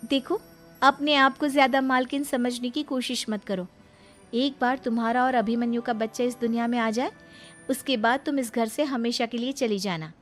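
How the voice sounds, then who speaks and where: Young daughter-in-law played by a woman, melodrama story, indignant and pleading, distinctly high-pitched. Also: fairly even intonation, normal speed, a bit bright, soft background music, lightly acted. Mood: resentful. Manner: plaintive, indignant. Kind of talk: Hindi family melodrama story acting.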